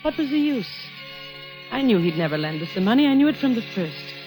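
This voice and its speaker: Brooding, suspicious wife in old radio drama. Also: female voice, fairly high pitch, sing-song, fairly quick, poor audio. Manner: brooding, questioning. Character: worried, suspicious wife running a failing dude ranch. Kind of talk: 1940s radio drama acting with first-person narration. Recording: vintage radio broadcast with music.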